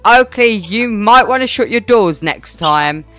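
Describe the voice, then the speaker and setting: A woman voicing a cheeky, excitable young son in a family roleplay game. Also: on the high side, a little slow, dry recording, soft background music, pitched above the performer's own voice. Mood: sassy.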